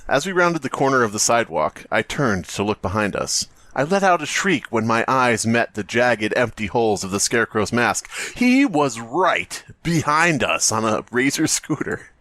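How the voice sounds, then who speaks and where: A man with a very lively, swooping delivery and a close, dry sound. He riffs and banters casually as a podcast co-host, with a rambling sarcastic streak. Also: mid-range voice, moderate pace, hardly any pauses, no echo. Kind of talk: casual comedic banter and riffing on a story read aloud.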